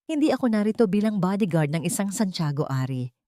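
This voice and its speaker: A woman voicing a loyal, serious male bodyguard in an audio drama, earnest, grave and steady. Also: mid-range voice, fairly quick, no echo, studio quality, close to an everyday voice. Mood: grave, devoted.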